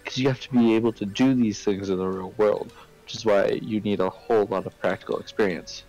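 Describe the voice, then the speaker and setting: A man in a casual voice chat, explaining things in a rambling, halting way. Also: on the low side, fairly even intonation, a little mellow, poor audio. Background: faint music.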